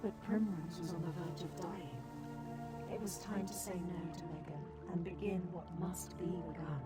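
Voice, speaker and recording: Game narrator: actress as secretive, caring woman, measured and grave, on the lower side, under prominent music. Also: fairly even intonation, natural voice. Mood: solemn, wistful. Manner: measured, solemn storytelling. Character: woman narrator Gale, secretive, tender, solemn guardian recounting tragedy. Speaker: story narrator. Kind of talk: scripted dramatic narration.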